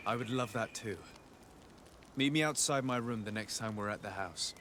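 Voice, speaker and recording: An actor playing a sincere, reserved young noble diplomat in a video game, speaking politely and formally. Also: male voice, medium pitch, normal speed, fairly bright tone, a little hiss or hum, lightly acted. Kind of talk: video game dialogue acting.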